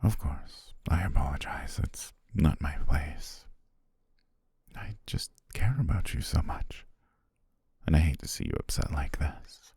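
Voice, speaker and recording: Man voicing a very deep, husky, coaxing serpent shapeshifter. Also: no echo, clean studio sound, heavily acted. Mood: soothing, deferential. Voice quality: very deep, husky, breathy, often whispered. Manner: slow, gentle, formal, coaxing. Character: possessive male naga shapeshifter posing as devoted, soothing servant. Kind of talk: intimate ASMR roleplay.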